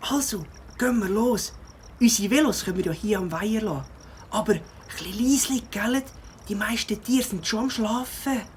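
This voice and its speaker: A woman's voice: medium pitch, normal speed, a little mellow, slight background noise, natural voice. A woman playing a brave, reassuring young leader of a group of friends in a children's audio drama, with a Swiss accent.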